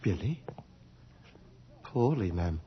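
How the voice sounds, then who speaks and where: A man's voice: lowish voice, big pitch swings, slow pace. Servile tradesman, nervous and deferential, swinging intonation, dull noisy radio drama sound. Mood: subdued, stunned. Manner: deferential, nervous, ungrammatical folksy speech. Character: anxious, servile working-class hairdresser, proud of his trade.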